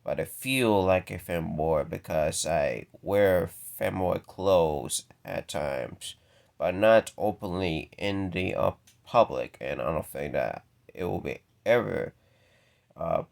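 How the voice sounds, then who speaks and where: A young man from the American Deep South with a very deep voice, stuttering and halting through a casual solo Q&A. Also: expressive, gravelly, darkish tone. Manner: stuttering, halting with repeated words. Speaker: video creator answering viewer questions.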